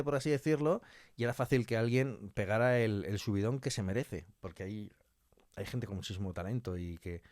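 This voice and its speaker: A man's voice: on the low side, darkish tone, dry recording, studio quality. A man with a Spanish accent from Spain chatting casually and colloquially as a podcast guest, often repeating phrases for emphasis. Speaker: interview guest, audio fiction scriptwriter.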